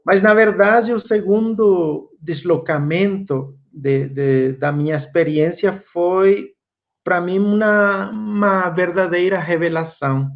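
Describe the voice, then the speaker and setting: A man from Guatemala with a Guatemalan Spanish accent, a native Spanish speaker giving an online course lecture, slow and reflective with frequent fillers. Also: high-pitched, narrow, tinny audio.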